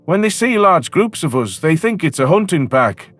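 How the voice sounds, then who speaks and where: Man playing a wary guard, raised pitch. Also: very animated, moderate pace, a bit bright. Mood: exasperated. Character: wary, by-the-book male military guard.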